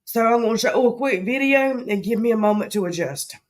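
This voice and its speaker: A woman's voice: fairly high pitch, lively intonation, brisk. Woman with a scratchy Southern voice. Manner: rambling, with frequent ums and agains. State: scratchy voice from allergies. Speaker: travel vlogger host. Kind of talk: casual travel chat.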